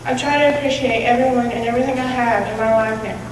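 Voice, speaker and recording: A woman's voice: on the high side, fairly even intonation, quick pace. A young woman reciting a personal monologue as a student performer. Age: teenager or young adult. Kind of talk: readers theatre monologue, recited. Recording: echoey hall from far off, noisy.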